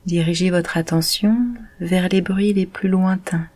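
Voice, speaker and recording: Slow-paced woman guiding meditation. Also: fairly low pitch. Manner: calm, measured, soothing instruction. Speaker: meditation guide. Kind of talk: guided yoga nidra meditation.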